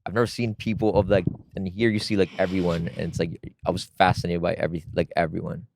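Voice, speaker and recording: A man born in Bosnia, a panel guest talking in an animated way with plenty of swearing, in a casual group discussion. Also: low-pitched, fast talker, vocal fry, a little hiss or hum.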